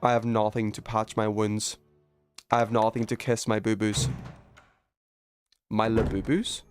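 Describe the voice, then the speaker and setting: A man's voice: on the low side, level tone, measured pace, faint music behind. A man live streaming a video game with casual, slangy commentary full of swearing.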